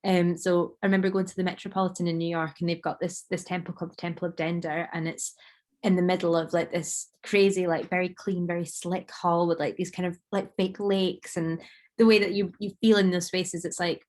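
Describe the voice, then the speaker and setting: A woman artist talking casually about her work, rambling with many fillers and a slightly croaky voice. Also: lowish voice, level tone, fairly quick, darkish tone. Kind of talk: casual conversation.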